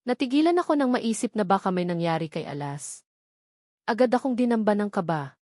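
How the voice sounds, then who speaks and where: A Filipino woman reads a dramatic first-person story at a medium pitch. The recording is dry, with very little room sound. She works as an audiobook narrator. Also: lively intonation, brisk, a little mellow, no room sound.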